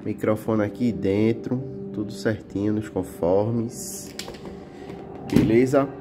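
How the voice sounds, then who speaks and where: A man's voice: lowish voice, slow, music in the background. A man with a Pernambuco accent hosting his motorcycle channel, chatting casually as he shows off camera gear indoors, peppering his talk with little tag questions. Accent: Pernambuco Portuguese. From Brazil. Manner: relaxed, chatty, full of fillers like "tá?" and "né?". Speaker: motovlog channel host. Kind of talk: casual product show-and-tell.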